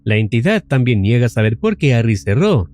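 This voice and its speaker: Lively male horror-recap narrator. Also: medium pitch, normal speed. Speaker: narrator of horror explainer videos. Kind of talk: scripted suspenseful recap narration. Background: faint music.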